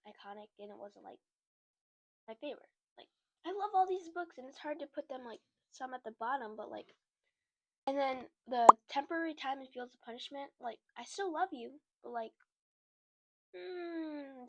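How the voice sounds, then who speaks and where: A woman's voice: on the high side, no echo, low-quality recording. A woman chatting casually to her viewers while a little sick, rambling with lots of fillers and restarts. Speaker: book content creator. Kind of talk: casual chat to camera.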